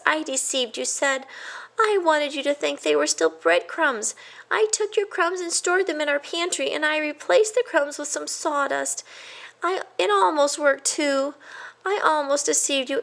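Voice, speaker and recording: A woman voicing a guilty, timid little boy mouse in a children's storybook, squeaky and stammering with remorse. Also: vocal fry, fairly bright tone, full character voice. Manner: stammering, hesitant.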